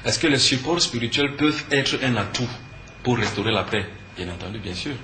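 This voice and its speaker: A man's voice: mid-range voice, expressive, fairly quick. A man from Cameroon with a Cameroonian French accent, teaching earnestly as an interview guest, emphatic and fond of answering his own questions. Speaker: spiritual teacher and conference speaker, interview guest. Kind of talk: earnest explanatory talk in a TV interview. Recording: echoey room with faint background noise.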